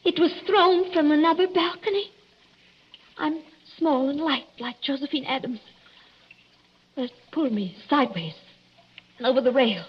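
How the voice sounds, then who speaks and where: An actress playing a frightened young bride in 1940s radio drama, hysterical, halting and breathless on a dull, noisy old recording. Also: female voice, high-pitched, lightly acted. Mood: frightened, urgent. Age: young adult. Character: frightened young bride recounting a murder attempt.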